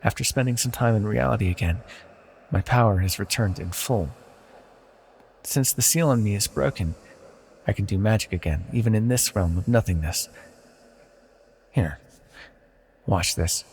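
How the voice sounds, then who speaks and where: Casting: male actor as ancient villain sorcerer for fantasy roleplay audio. Low, gravelly, formal, possessively tender, condescending, drenched in reverb. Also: moderate pace, pauses often. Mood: proud, smug. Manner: soft-spoken, condescending, theatrically formal. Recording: long hall-like reverb.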